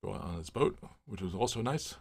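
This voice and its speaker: A man's voice: on the low side, expressive, a little fast, creaky, a little mellow, breathy edge. A man in his fifties, originally from Miami, hosting a casual livestream and chatting through viewer comments with rambling stories and many fillers. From Miami, Florida. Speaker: livestream host. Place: home office.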